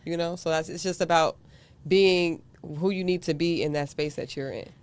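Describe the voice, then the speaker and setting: A woman music producer and teaching artist, talking in a relaxed, confident way with many fillers. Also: lowish voice, moderate pace, smooth. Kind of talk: casual interview chat.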